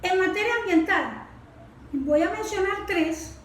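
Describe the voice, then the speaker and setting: A woman's voice: very high voice, unhurried. A Venezuelan woman speaking as an expert guest, explaining didactically with rhetorical questions and check words. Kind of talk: explanatory expert interview talk. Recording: echoey room, distant mic, faint noise.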